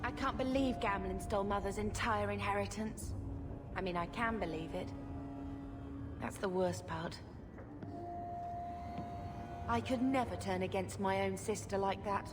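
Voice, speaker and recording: An actress playing an earnest, wistful young mage in a video game, speaking sincerely and reflectively. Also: female voice, mid-range voice, moderate pace, music in the background, natural voice.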